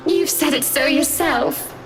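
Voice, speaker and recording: A woman voicing a menacing spectral tormentor in a game, theatrical and scornful, taunting and accusing in drawn-out phrases. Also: high voice, gravelly, soft background music. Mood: mocking.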